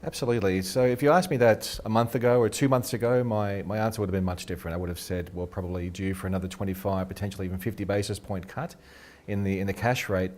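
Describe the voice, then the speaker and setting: A middle-aged Australian man, a research head being interviewed, talks quickly and eagerly and restarts his sentences a lot. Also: fairly low pitch, steady tone, a little mellow. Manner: quick, eager, repeats words when starting sentences. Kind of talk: casual interview talk. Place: radio studio.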